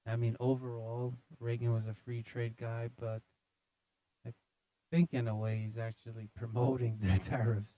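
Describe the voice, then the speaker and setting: A man's voice: mid-range voice, flat delivery, normal speed. A man hosting a talk show with casual, loosely improvised, hesitant commentary. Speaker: show host. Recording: dull, roomy sound.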